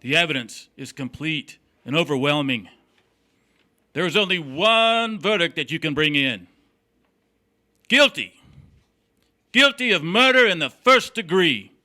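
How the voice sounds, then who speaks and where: Man acting a forceful, slow, thundering prosecutor. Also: fairly high pitch, sing-song, soft background music, full character voice. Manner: declamatory, deliberate, emphatic. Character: fiery, righteous courtroom prosecutor, man, thundering condemnation. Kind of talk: old-fashioned melodramatic courtroom oratory.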